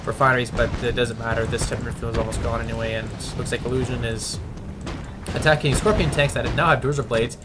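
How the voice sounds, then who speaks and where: A man casting a strategy game replay, halting with fillers and restarts and breaking into bursts of excitement. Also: medium pitch, very noisy. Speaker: game commentator. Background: background music and keyboard typing.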